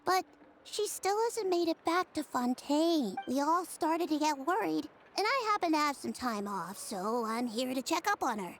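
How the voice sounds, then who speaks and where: A gentle Melusine creature. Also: female voice, high voice, sing-song, normal speed, rough recording. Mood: helpful. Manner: polite, helpful, earnest. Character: kind earnest female Melusine creature. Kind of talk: video game voice acting. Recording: game music behind the voice.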